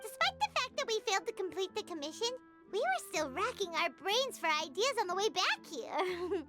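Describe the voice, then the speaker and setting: A woman voicing a small, childlike, excitable and blunt fairy companion in a video game. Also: extremely high pitch, normal speed, music in the background. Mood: chirpy, teasing. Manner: refers to herself in third person.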